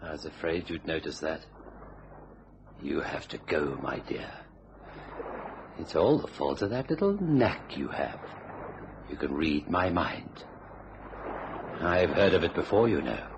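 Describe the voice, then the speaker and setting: Creepy smooth-talking murderous husband, really deep, old radio thriller, hissy noisy audio. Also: male voice, big pitch swings, unhurried, long pauses, exaggerated voice. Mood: cold menace. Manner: suave, menacing, theatrical. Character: cold, murderous husband toying with his frightened wife.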